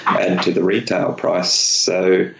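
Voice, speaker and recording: A low-pitched man talks as a guest in a casual interview. He explains things in a matter-of-fact way with plenty of creak in his voice. The sound is dull and echoes around the room. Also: completely flat delivery, slow, noisy background. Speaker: company founder being interviewed as a guest.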